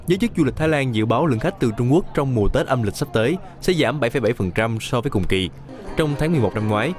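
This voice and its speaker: A man reading scripted news voice-over in a brisk, even newsreading manner. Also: medium pitch. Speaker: news reporter.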